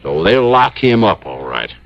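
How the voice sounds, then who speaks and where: Low-voiced, stubborn aging police chief. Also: male voice, sing-song, moderate pace, gravelly, no room sound, full character voice. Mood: indignant, stubborn. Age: older. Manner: indignant, insistent, plain-spoken. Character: proud, stubborn aging small-town police chief near retirement. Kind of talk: 1950s radio drama acting. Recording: dull old radio broadcast recording.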